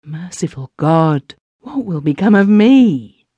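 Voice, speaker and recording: A woman's voice: low-pitched, a little slow, a little mellow, no echo, highs cut off. A reader voicing a terrified female spirit medium who pleads in frantic, gasping bursts, as in an audiobook. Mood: panicked. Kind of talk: audiobook character reading.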